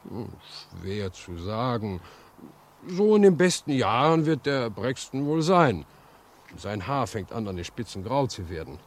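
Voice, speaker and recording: An actor playing a good-natured, simple railway worker in a 1950s radio drama, folksy and a little hesitant. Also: male voice, medium pitch, very animated, a little slow. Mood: chatty. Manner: folksy, hesitant, chuckling asides. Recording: noisy old 1950s radio recording.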